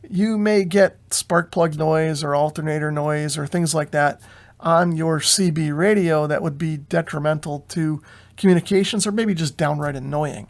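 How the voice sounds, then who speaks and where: An American man with a somewhat high voice explains things informally to viewers. His delivery is measured and conversational, with plenty of vocal fry running through it. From United States. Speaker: video host, amateur radio hobbyist. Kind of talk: informal explainer commentary on radio news.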